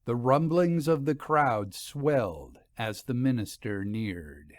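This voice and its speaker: A man's voice: medium pitch, lively intonation, takes it slowly, dry recording. Man narrating a story in a dry studio. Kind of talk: scripted audiobook story narration.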